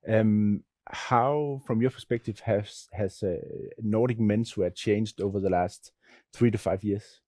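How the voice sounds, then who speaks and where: A man's voice: fairly low pitch, steady tone, a little slow, mellow, no room sound. A man hosting a fashion panel, friendly and hesitant, with many fillers. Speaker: panel moderator, magazine editor. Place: studio panel at a fashion event.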